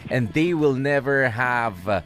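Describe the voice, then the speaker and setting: A man's voice: mid-range voice, takes it slowly, fairly bright tone. A man with a Filipino accent hosting a radio show, talking casually to listeners and mixing in English phrases. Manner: conversational, mixes in English phrases. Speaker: radio host.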